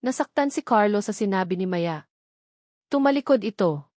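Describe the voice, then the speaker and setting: A woman's voice: mid-range voice, expressive, normal speed, a little mellow, dry recording, studio quality. A woman narrator reading a scripted dramatic love story.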